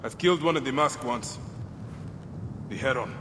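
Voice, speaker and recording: A man's voice: mid-range voice, moderate pace, noisy background, natural voice. An actor playing a grim male warrior who speaks in short, determined lines. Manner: terse and determined.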